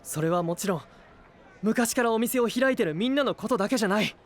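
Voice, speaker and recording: Earnest, high-voiced young knight. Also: male voice, lively intonation, quick pace. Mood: earnest conviction. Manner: impassioned, sincere pleading. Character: earnest young male knight, trusting, hot-blooded idealist. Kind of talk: anime audio drama acting.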